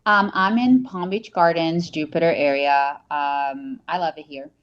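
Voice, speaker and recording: A woman's voice: deep voice, fairly even intonation, unhurried, dull audio. A woman from Brazil, a real estate agent and guest, chatting with many fillers and restarts over a remote call. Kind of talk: casual remote interview. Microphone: headphones on a remote call.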